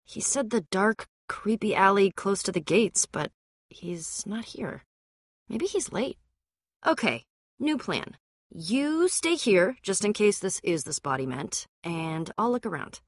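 Game role: tough young American adventurer woman, wry and confident, animated intonation, mid-range pitch. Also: takes it slowly, no room sound, clean studio sound. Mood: uneasy, puzzled. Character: tough, sarcastic, self-assured young woman adventurer and trader. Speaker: video game voice actor.

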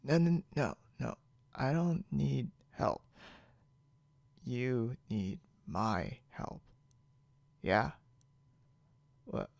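Man playing a drunk young man, heavy gravelly fry, very slow halting delivery, stubborn and muddled, ASMR roleplay. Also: mid-range voice, big pitch swings, a little mellow, dry recording, full character voice. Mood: confused, woozy. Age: young adult. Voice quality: gravelly, creaky. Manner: halting, rambling, mumbled drunk speech with repeated words. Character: tipsy, stubborn, boastful, confused young man.

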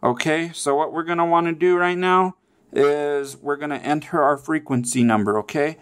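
A man's voice: a little high, normal speed, fairly bright tone, clean tone. A man presenting a casual step-by-step how-to, often checking in with okay and all right. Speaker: tutorial presenter.

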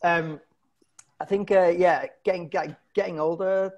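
The halting, self-deprecating British man in his thirties you would hear testing jokes from his living room at an online comedy night. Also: sits high, fairly even intonation, a little mellow, dull audio. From Britain. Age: 30s. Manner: halting, self-deprecating, often chuckling at his own lines. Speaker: stand-up comedian. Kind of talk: stand-up comedy set over video call. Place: indoor room at home. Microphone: wired earphones on a video call.

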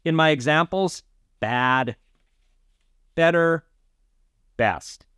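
A man in his fifties presenting an explainer to camera, speaking in deliberate, emphatic phrases. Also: fairly high pitch, a bit bright, clear, resonant tone, dry recording, studio quality. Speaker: presenter of an audio explainer series. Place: small indoor studio.

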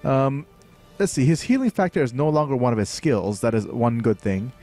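A man's voice: mid-range voice, normal speed, warm tone, faint music behind. A young man from Thailand with a light Thai accent, streaming and explaining a game with many fillers and restarts. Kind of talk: live game commentary.

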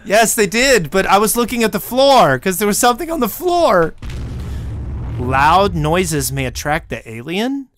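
A man's voice: high-pitched, big pitch swings, measured pace, a bit bright, slight background noise. A man chatting casually as he plays a game, with a playful, joking manner. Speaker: gamer playing a co-op roleplay map. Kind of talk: casual gameplay chat.

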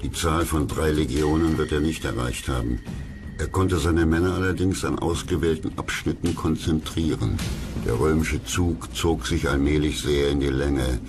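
Very deep male documentary narrator. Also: steady tone, normal speed. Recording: loud music under the voice. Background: loud background music.

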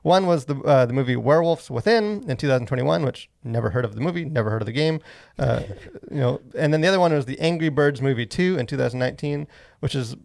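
Guy doing podcast movie talk, lots of vocal fry, rambly and animated, close mic. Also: medium pitch, quick pace. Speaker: podcast host and movie reviewer. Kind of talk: casual podcast chat. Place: small podcast studio. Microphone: close boom-arm studio mic.

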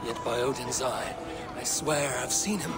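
An actor playing an earnest Viking warrior in a video game, speaking with intense, halting wonder over music. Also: male voice, mid-range voice, lightly acted. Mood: troubled. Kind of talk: dramatic video game acting.

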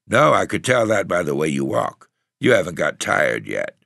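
A husky, hoarse young tramp boy, as a male narrator puts him on, streetwise and defiant. He sounds raised and forceful, with a bright edge. The recording is close and dry. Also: low voice, big pitch swings, normal speed, no room sound, voice pushed up, full character voice. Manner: streetwise, cocky, bitter. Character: frail, husky eighteen-year-old runaway boy tramp, defiant.

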